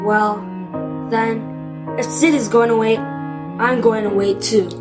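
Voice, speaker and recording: A woman playing an excitable, emotional young friend in an audio drama, speaking expressively. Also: fairly high pitch, takes it slowly, loud music behind the voice. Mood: hesitant, loyal.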